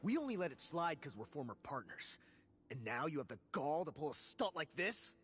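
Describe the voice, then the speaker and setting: A man's voice: a little high, sing-song, normal speed, a bit bright, slightly rough, full character voice. A man playing an indignant, argumentative, hot-tempered convict in a video game. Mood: accusing. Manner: argumentative, exasperated. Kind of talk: video game dialogue acting.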